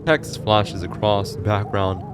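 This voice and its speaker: A man's voice: lowish voice, little pitch movement, a little slow, noisy background. A man reading scripted narration for a horror story explainer in a dramatic, ominous storytelling manner. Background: music behind the voice.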